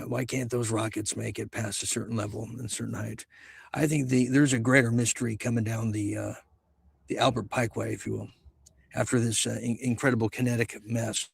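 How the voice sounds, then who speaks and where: A male talk show host with a husky, creaky voice that is wearing out, recorded close and dry. He rambles in a casual, musing way, halting often as he goes. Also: medium pitch, dry recording. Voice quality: husky, gravelly voice. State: losing his voice.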